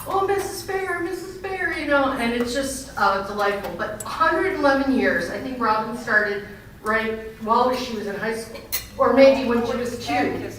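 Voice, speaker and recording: A woman's voice: a little high, expressive, normal speed, a bit bright. A woman pastor with a big, projecting voice, speaking to a gathering. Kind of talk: informal remarks and spoken prayer to a gathering. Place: large echoing indoor banquet venue. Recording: very echoey hall, distant mic, faint noise.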